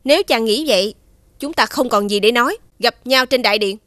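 Woman playing a high-voiced haughty cartoon princess. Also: brisk, a bit bright, dry recording, natural voice. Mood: cool, polite. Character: haughty, scheming young warrior princess, regal. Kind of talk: fairy-tale cartoon dubbing.

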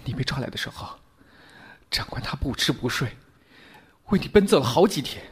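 A man playing an anxious, loyal soldier aide with a husky voice in a Chinese radio drama. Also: medium pitch, lively intonation, moderate pace. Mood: worried, pleading.

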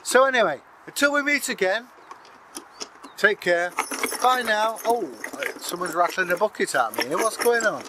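A man's voice: high voice, expressive, normal speed, background noise. An older man, telling a folk tale and chatting to camera in a garden, in a dry, good-humoured way. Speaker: channel host and storyteller. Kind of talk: casual storytelling and banter to camera. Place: outdoor garden by a pond. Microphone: camera mic at a few metres.

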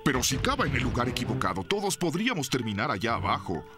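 A man voicing a sensible, proud horned dinosaur with a measured, insistent manner in a cartoon dub. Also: medium pitch, big pitch swings, over music. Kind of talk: animated film dub acting.

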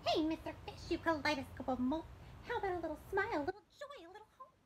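Woman acting impolite female squid, children's storybook, raised pitch, emphatic swoops, noisy outdoor recording. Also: very animated, fast. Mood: pert, chiding. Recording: outdoor ambient noise.

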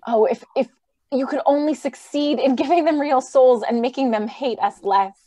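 Woman acting an idealistic high-voiced lady. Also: moderate pace, dark tone. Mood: pleading. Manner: earnest, theatrical, pleading. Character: idealistic, anxious, gentle lady who loves humanity. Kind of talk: old-time radio drama acting. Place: remote video call.

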